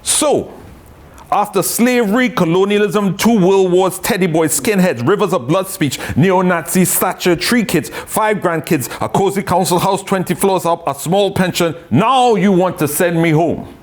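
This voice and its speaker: A man's voice: high-pitched, a little slow. A poet from Trinidad with a Trinidadian accent, chatting loosely with many tag phrases and reading his own poetry.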